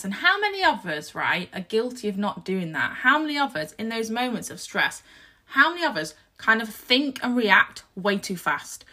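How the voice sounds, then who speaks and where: A woman in her thirties hosting a casual solo podcast, croaky and husky from a sore throat, talking in an earnest, reflective, motivational way. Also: medium pitch, pushed and bright. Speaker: podcast host.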